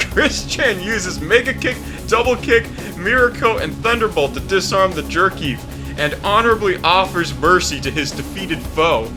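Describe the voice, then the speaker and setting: A man's voice: sits high, expressive, a little slow, over music. A young adult man doing casual host commentary and reading a summary aloud, sweary and amused. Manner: sweary, amused, often breaking into laughter. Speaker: video host.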